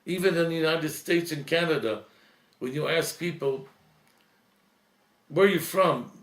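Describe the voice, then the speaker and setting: A man, a priest, giving an earnest, reflective talk, slowing and softening at emotional points. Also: a little high, echoey room. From Lebanese heritage.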